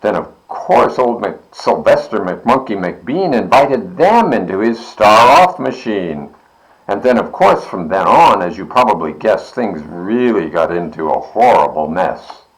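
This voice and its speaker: A man's voice: lowish voice, big pitch swings, unhurried, rough recording. A man reading a children's storybook aloud expressively, with dramatic, rhythmic verse delivery. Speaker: library board member reading aloud.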